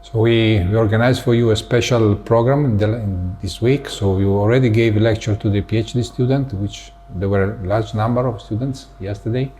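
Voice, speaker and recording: A man with an Italian accent interviewing a guest, speaking haltingly as he searches for words in English. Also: on the low side, fairly even intonation, a little mellow, slight background noise. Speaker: interviewer, researcher. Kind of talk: academic interview conversation.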